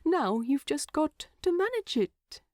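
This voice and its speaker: A tipsy little hobbit man, acted out by a woman narrator, earnest and teasing. The voice sits high and swings widely in pitch, recorded dry and close, as in a storybook reading. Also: big pitch swings, slow pace, a little mellow, no room sound, full character voice. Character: drunken, affectionate male hobbit, earnest and teasing.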